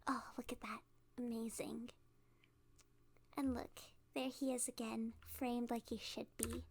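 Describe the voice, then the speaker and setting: A woman's voice: a little high, flat delivery, a little slow, darkish tone, husky. A woman playing a cute, nervous, obsessive young female kidnapper in an ASMR roleplay, stammering and giggly as she talks. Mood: hushed, proud.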